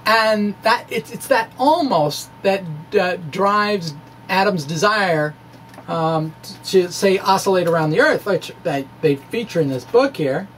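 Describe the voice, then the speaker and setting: A man's voice: high-pitched, expressive, fairly bright tone. Middle-aged male amateur science presenter, wry and stumbling, reading aloud with asides, slightly noisy room. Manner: halting, wry, quirky delivery with stammered restarts. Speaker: amateur physicist presenting a blog. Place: basement of a home.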